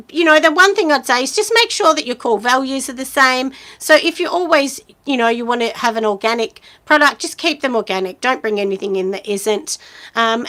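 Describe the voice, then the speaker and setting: A woman in her forties with a husky voice, hosting a relaxed live stream from home. Her voice sits high and has a bright, forward edge. She chats encouragingly and casually, scattering ums and you-knows through her advice. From Australia. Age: 40s. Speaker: small business owner hosting a live chat.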